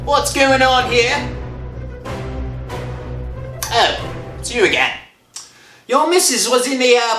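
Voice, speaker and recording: A man playing a gruff, suspicious, long-winded police constable in a 1960s radio drama. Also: very high-pitched, sharp tone, exaggerated voice. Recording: old radio recording, echoey.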